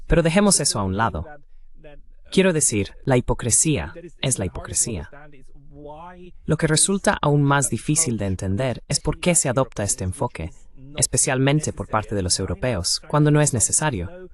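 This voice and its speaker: A man speaks as the translation voice for an interviewer. He delivers commentary and questions at an ordinary middle pitch, in a voice-over style. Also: sing-song, moderate pace.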